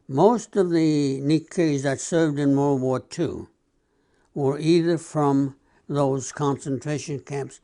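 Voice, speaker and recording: Slow-speaking man in his nineties. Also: fairly high pitch, mellow. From United States. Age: 90s. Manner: slow, measured, deliberate. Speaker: interviewee. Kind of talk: documentary interview recollection.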